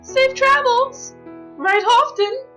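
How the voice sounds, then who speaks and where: A woman's voice: very high-pitched, takes it slowly, pushed and bright, heavily acted. A woman voicing a refined, dramatic and affectionate female pony with a theatrical, ladylike manner in a fan audio drama. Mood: forced cheer. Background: music.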